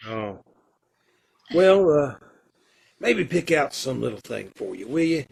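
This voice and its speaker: A man acting a kindly, earnest condemned prisoner in an old-time radio play, with hesitant, folksy phrasing and a gravelly, husky voice. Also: mid-range voice, very animated, a little mellow, full character voice. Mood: tender, subdued. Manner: hesitant, halting, folksy and informal.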